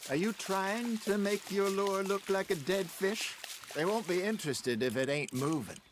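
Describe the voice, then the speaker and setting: An actor playing a seasoned old American outlaw angler in a video game, genial, folksy and full of advice and yarns. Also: male voice, high-pitched, normal speed, lightly acted. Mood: reminiscing. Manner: folksy, instructive storyteller. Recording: noisy, watery outdoor ambience behind.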